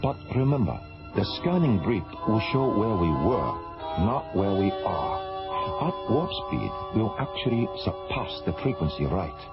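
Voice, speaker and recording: A man playing a courteous astrophysicist in a science fiction radio drama, speaking in a polite, measured way. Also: on the low side, big pitch swings, loud music behind the voice. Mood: matter-of-fact. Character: genial, courteous astrophysicist and test pilot, a man. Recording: dull lo-fi radio recording.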